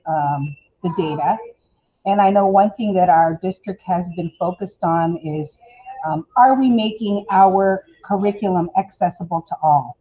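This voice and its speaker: A woman on a video call explaining a point in a measured way, with some fillers. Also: medium pitch, lo-fi sound. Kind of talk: forum discussion.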